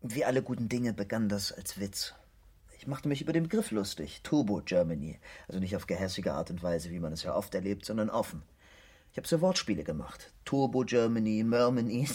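An earnest video creator giving an interview in a radio drama. He rambles along in a fairly low, husky voice. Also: lively intonation, a little fast, darkish tone. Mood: earnest, self-deprecating.

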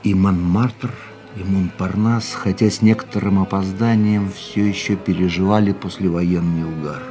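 A male actor playing a world-weary man in a radio play, musing tenderly on the woman he loves in a measured, reflective monologue. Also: sits low, warm tone. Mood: brooding. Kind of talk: literary first-person monologue in a radio play. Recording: music under the voice.

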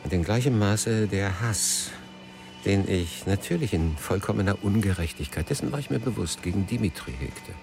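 A man's voice: on the low side, animated, moderate pace, creaky, music in the background. An actor playing a melancholy, jealous middle-aged poet in a radio drama, brooding and literary in his phrasing. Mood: bitter, reflective. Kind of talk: radio drama narration and intimate dialogue.

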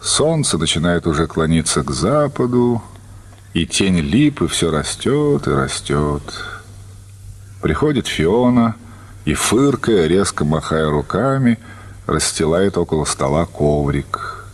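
A man's voice: deep voice, big pitch swings, slow pace, husky. A man reading literary narration as the storyteller of a radio play.

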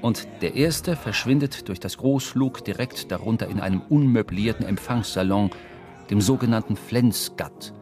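A man's voice: fairly low pitch, normal speed, a little mellow, faint music behind. A man narrating a literary radio drama with vivid, precise storytelling. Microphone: close studio microphone.

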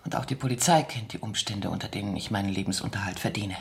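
A woman's voice: a bass voice, fast talker, gravelly. An actress playing a cool, seductive female spy under interrogation in a radio drama, poised, teasing and defiant. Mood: teasing, coy. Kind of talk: German radio drama acting.

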